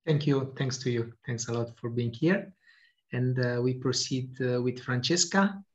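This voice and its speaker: A man's voice: mid-range voice, unhurried. A man moderating a video call roundtable, polite and hesitant with many fillers. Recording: dull lo-fi call audio.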